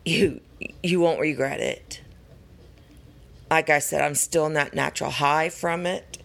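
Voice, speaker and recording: A woman with a raspy voice talking earnestly and encouragingly to her viewers in a casual vlog. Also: deep voice, steady tone, takes it slowly, a bit bright. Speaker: pageant contestant. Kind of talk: casual vlog talk to camera.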